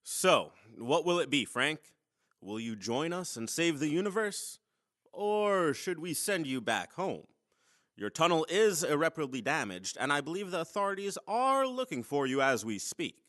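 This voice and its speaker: A man's voice: a little high, big pitch swings, moderate pace, fairly bright tone, no echo, clean studio sound. Man playing a theatrical, swooping-voiced mission commander. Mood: commanding. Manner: authoritative, theatrical. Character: commanding, smooth-talking mission leader with hidden scheme. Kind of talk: sci-fi audio drama acting.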